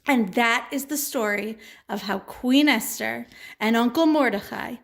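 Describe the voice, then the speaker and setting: A woman's voice: on the high side, a little slow, creaky, a bit bright. A woman narrating a children's story as a puppet show storyteller, in a simple, repetitive way.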